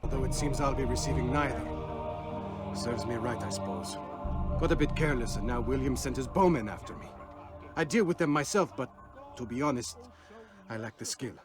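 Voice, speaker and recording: Man as a mid-pitched, sheepish informant. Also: fairly quick, long pauses, music in the background, close to an everyday voice. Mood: rueful, asking. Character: self-deprecating, anxious male assassin informant. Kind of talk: video game voice acting.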